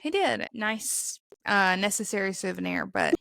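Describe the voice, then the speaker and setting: A woman's voice: medium pitch, animated, a little slow, vocal fry, a crowd in the background. A young woman with a Southern accent from Mississippi, co-hosting a podcast in casual chat and acting out the lines she quotes. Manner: chatty storyteller, acts out quotes. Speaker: podcast co-host.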